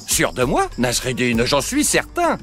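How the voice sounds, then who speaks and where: A man's voice: medium pitch, huge pitch swings, a little slow, a bit bright, faint music behind. A man playing a pompous, foolish vizier in a children's cartoon, self-assured yet often hesitating. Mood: smug. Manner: pompous, hesitant, throat-clearing. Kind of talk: animated children's cartoon acting.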